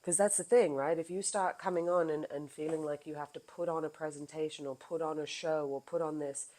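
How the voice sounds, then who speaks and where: A woman hosting her own podcast in casual chat, with a low voice, animated and laughing often, now and then swearing. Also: fairly quick, poor audio. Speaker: podcast host.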